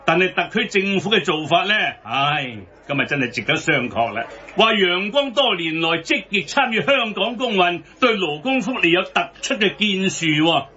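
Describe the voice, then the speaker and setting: A man's voice: high-pitched, very animated, moderate pace, pushed and bright, heavily acted. An actor with a Hong Kong Cantonese accent playing an exasperated, outspoken man scolding about politics in a satirical radio drama. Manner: theatrical, scolding. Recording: slightly dull radio broadcast.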